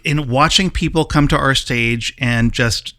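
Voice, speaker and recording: A man's voice: mid-range voice, measured pace, sharp tone, no room sound, studio quality. An American man hosting a conversational interview. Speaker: host.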